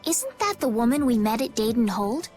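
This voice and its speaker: A woman's voice: on the high side, sing-song, moderate pace, a little mellow, faint music behind. A woman playing an inquisitive young female adventurer in a video game. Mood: curious. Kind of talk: video game dub acting.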